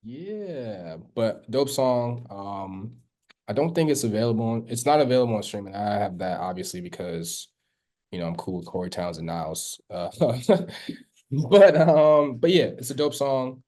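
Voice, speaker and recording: A man co-hosting a podcast in casual chat, talking in a relaxed, drawn-out way. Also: mid-range voice. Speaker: podcast co-host.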